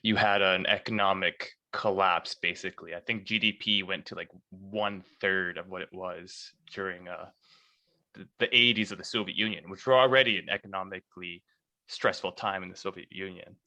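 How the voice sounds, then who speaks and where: A man's voice: low-pitched, steady tone, moderate pace, bright, forceful tone, low-quality recording. A man co-hosting a podcast, chatting casually and asking long, probing questions. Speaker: podcast co-host. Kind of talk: casual chat.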